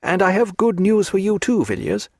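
A reserved gentleman doctor, as a male reader plays him, formal and measured yet lively in pitch. Dry, close recording. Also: no echo. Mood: earnest.

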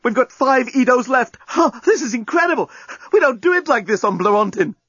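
A man voicing a melodramatic male alien journalist, wounded, whiny and excitable, in a comic audiobook. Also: very high-pitched, brisk, breathy edge, no room sound, dull audio, pitched above the performer's own voice, heavily acted. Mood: agitated, thrilled.

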